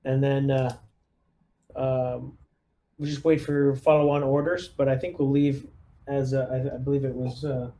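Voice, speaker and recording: A man plays a squad leader in a tabletop game, speaking casually. His voice is soft-edged and mellow, with very little rise and fall in pitch. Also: little pitch movement, a little slow. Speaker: player acting as squad lieutenant.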